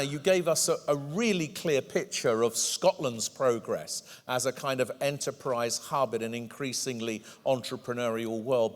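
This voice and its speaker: A man moderating a panel discussion, thanking speakers and asking questions politely and briskly. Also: medium pitch. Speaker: panel chair. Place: large event hall. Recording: reverberant hall.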